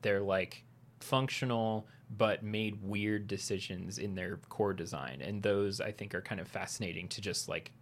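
A man's voice: on the low side, moderate pace. A man co-hosting a casual podcast about video games, talking with frequent restarts and fillers and laughing easily. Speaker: podcast co-host.

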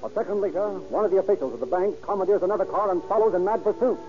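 A man's voice: high voice, racing, faint music behind. A man narrating a 1930s radio crime drama with urgent, dramatic delivery. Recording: muffled old radio broadcast with loud hiss.

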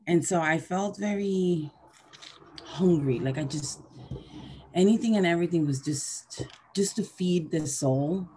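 Expressive woman in her forties, chatting casually. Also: on the low side, a little slow, slight background noise, rough recording. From Venezuela, then New Jersey from age eleven. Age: 40s. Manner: expressive, repeats words, acts out quotes. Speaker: podcast guest, herbalist teacher. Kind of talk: casual conversational storytelling.

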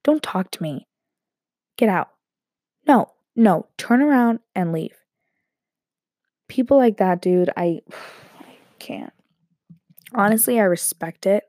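A woman's voice: medium pitch, gravelly, no room sound, clean studio sound. A young woman telling a personal story in a rambling, joking way. She is fighting a cold, so her voice sounds throaty. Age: young adult. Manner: animated, self-interrupting, joking asides.

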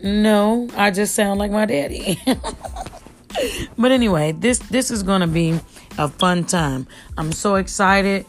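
A woman with a raspy voice hosting her own podcast from home, rambling casually and full of slang. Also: mid-range voice, unhurried, soft background music. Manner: slangy, chatty, addresses listeners as y'all. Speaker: podcast host.

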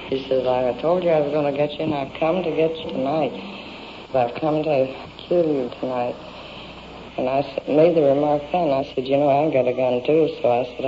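A woman giving a statement to police, plainly recounting events step by step in colloquial, rambling speech. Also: a bass voice. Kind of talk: unscripted, plain step-by-step account. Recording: dull, noisy old field recording.

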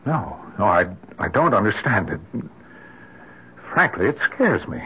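Anxious American husband, grieving and protective, husky and halting, wide-ranging delivery, old-time radio drama. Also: male voice, fairly low pitch, very animated, bright, forceful tone, full character voice. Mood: bewildered, worried. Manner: hesitant, stammering, pleading. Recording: muffled lo-fi vintage radio recording.

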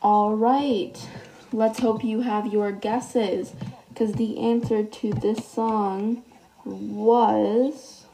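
A woman's voice: medium pitch, fairly even intonation, extremely slow, dark tone, low-quality recording. A teenage girl hosting a casual solo podcast, reading texts aloud in a chatty way while losing her voice. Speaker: podcast host.

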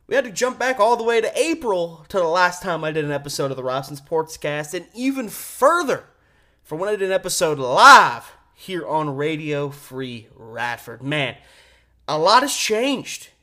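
A man in his twenties with a slightly high voice. He hosts a sports talk show alone on campus radio, speaking informally and with emphasis, dropping in casual slang. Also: very animated, moderate pace, pushed and bright. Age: 20s.

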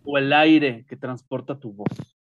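A man's voice: medium pitch, unhurried, lo-fi sound, slight background noise. A man co-hosting a radio show, teasing and joking in a theatrical way. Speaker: radio co-host. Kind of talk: playful radio chat.

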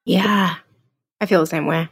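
A podcast co-host chiming in casually with short, lively remarks. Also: mid-range voice, unhurried, vocal fry, breathy edge. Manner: brief, lively interjections.

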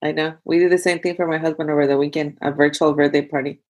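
A woman's voice: deep voice, steady tone, fast, darkish tone. A woman in her thirties in casual conversation, chiming in and recounting things by quoting herself. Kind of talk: casual chat.

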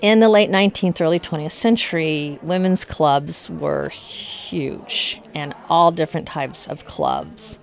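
A woman's voice: low-pitched, animated, measured pace, gravelly, hardly any pauses. A woman giving informal history commentary as an expert, with an emphatic, conversational manner.

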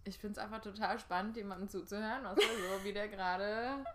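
A woman's voice: mid-range voice, fast talker. A young woman originally from Germany co-hosts a casual podcast. Her voice sits in a middle range, and she talks in an easygoing way, laughing often. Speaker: podcast co-host. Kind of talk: casual chat.

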